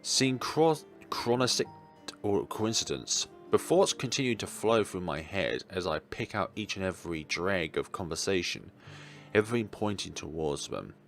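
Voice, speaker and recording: A man reading a visual novel story aloud in an expressive, dramatic way, as a let's player. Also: deep voice, moderate pace, creaky. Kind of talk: expressive read-aloud narration of game text. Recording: music playing behind the voice. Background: game background music.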